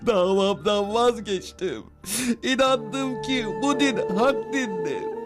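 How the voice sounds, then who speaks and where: An actor playing a humbled litigant in an animated film, earnest and emotional. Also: male voice, sits high, slow pace, faint music behind. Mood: moved, pleading. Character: humbled, pleading litigant converting in awe.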